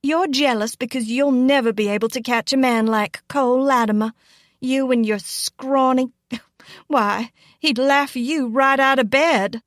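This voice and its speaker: A woman's voice: on the high side, moderate pace, a little mellow, no echo, clean studio sound, voice pushed up, close to an everyday voice. A woman voicing a spiteful, scheming young Southern belle in an audiobook, with emphatic, sneering delivery. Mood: taunting. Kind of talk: audiobook character acting.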